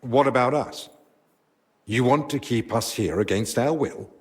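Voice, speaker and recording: An actor playing a learned royal physician in a medieval game drama, speaking in a measured, diplomatic, educated way. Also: male voice, mid-range voice, lightly acted. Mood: indignant. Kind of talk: dramatic video game cutscene acting.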